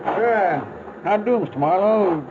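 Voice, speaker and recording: An actor playing a folksy, easygoing local eccentric with a colloquial manner in a 1940s radio drama. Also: male voice, sits high, lively intonation, unhurried. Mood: folksy, curious. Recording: muffled old radio recording, clear noise.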